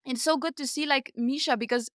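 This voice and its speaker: A woman's voice: sits high, brisk, fairly bright tone, no echo, clean studio sound. A woman co-hosting a podcast, chatting casually about books in a gushing, emphatic, informal way. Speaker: podcast co-host. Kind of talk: casual book discussion chat.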